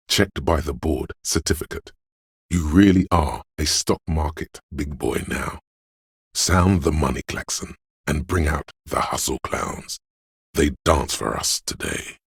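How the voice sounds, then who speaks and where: A man voicing a flamboyant, cocky inner voice of style and hustle in a video game, with a suave, theatrical, deliberate delivery and a gravelly, husky tone. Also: extremely low pitch, dry recording, studio quality, heavily acted. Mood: swaggering. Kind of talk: dramatic scripted game narration in second person.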